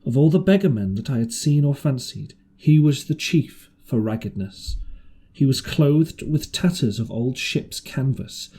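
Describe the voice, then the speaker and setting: A man's voice: mid-range voice, expressive, a little slow, warm tone, breathy edge. A man reading an adventure novel aloud as scripted audiobook narration. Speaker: audiobook reader.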